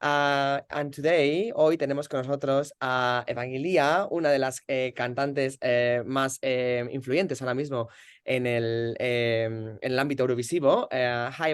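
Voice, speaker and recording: A man with a Spanish accent hosts a casual online interview. His voice is smooth and clean, recorded dry and close without room sound. He speaks hesitantly, often searching for the next word in English. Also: mid-range voice, fairly even intonation, moderate pace, no echo.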